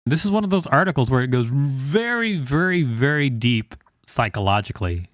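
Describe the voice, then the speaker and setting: A man's voice: mid-range voice, big pitch swings, moderate pace, no echo, studio quality. A man co-hosting a podcast, reading an encyclopedia article aloud with informal, joking banter. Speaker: podcast co-host.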